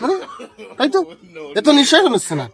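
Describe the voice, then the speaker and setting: A man playing a compulsive, excitable village storyteller in a comedy skit, insistent and repetitive, with a rural Bangladeshi Bengali accent. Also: very high-pitched, fast talker, a crowd in the background. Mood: excitedly storytelling. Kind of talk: comedy skit acting with colloquial dialogue. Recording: clearly noisy recording with clicks.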